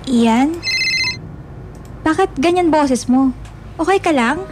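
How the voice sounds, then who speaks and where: A woman's voice: high-pitched, lively intonation, takes it slowly, background noise. A woman acting an anxious, caring young female friend in a radio drama, asking questions with concern. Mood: worried. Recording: loud music behind the voice.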